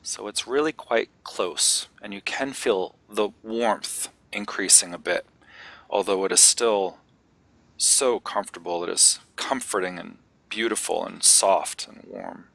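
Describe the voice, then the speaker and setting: Male meditation guide: lowish, crisp-edged bright voice, gentle and unhurried, leaving long pauses. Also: dry recording.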